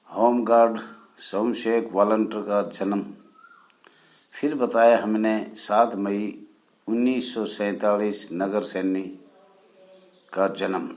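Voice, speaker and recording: Slow-talking man from India, lowish voice. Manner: deliberate, halting delivery in short phrases with formal honorifics. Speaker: channel host. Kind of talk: spoken history explainer for viewers.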